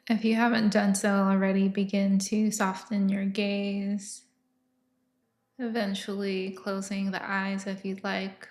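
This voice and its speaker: Slow, echoey woman guiding meditation. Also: mid-range voice, completely flat delivery. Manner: soft, unhurried, soothing instruction with long pauses. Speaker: guided meditation teacher. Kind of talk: guided meditation narration.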